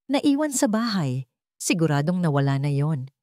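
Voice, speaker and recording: A woman's voice: low voice, sing-song, moderate pace, a little mellow, dry recording, studio quality. Woman acting a defiant pregnant young wife. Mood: resigned. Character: frightened, defiant pregnant wife accusing her murderous husband.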